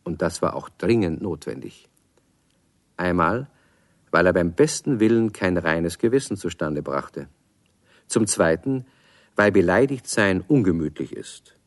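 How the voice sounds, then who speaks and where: Narrator: deep voice, measured wry storytelling for children's radio drama, close dry studio sound. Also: male voice, expressive, dry recording. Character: wry, unhurried male storyteller.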